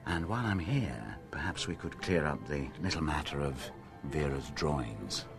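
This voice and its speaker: A man's voice: extremely low pitch, animated, normal speed, over music. A man acting a wry, put-upon police detective in a radio drama, with a dry, sardonic manner. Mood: dry, businesslike. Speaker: lead actor in a radio drama.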